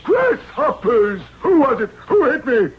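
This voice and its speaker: An actor playing a brutish, blustering slave overseer in an old-time radio drama. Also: male voice, extremely high pitch, very animated, a little slow, exaggerated voice. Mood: angry, bullying. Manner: barking, exclamatory. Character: brutish slave overseer, blustering bully who turns grovelling. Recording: muffled, noisy 1970s radio recording.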